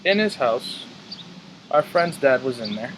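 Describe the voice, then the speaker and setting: A man in his twenties answering interview questions in a hesitant, measured way with fillers. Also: medium pitch, expressive, background noise. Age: 20s. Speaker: interview guest.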